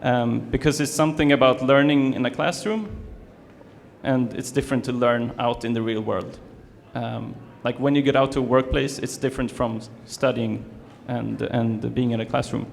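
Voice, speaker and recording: Gravelly Swedish man in a hall. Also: mid-range voice, steady tone, moderate pace. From Sweden. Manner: hesitant, many fillers and restarts. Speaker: student presenter. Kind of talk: presentation to an audience. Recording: reverberant hall, faint ambience.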